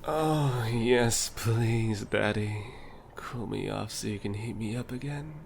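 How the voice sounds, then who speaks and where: Man playing a cheeky, lowish-voiced flirt. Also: takes it slowly, gravelly, a little hiss or hum. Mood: flirty. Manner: playful, cheeky. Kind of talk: audio drama acting.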